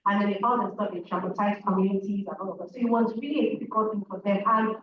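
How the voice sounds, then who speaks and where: A woman's voice: medium pitch, fairly even intonation, fast talker. A woman with a Nigerian accent, a native Igbo speaker, giving an earnest, emphatic talk as a speaker at a public event. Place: large event hall. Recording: echoey hall, distant muffled mic, audience noise.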